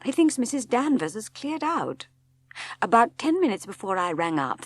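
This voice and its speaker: A brooding English gentleman of middle age, voiced by a woman narrator, talks in terse, clipped phrases. He sounds grave, tense and insistent, in the manner of audiobook drama. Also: mid-range voice, voice pushed up. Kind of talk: audiobook character dialogue.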